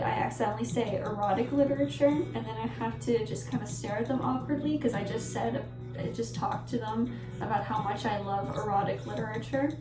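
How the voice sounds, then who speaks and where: Rambling Polish-native woman, echoey and distant. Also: a little high. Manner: rambling, self-correcting, awkwardly self-deprecating. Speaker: book vlogger. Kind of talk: casual book talk to camera. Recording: echoey room with mic at a distance and music behind.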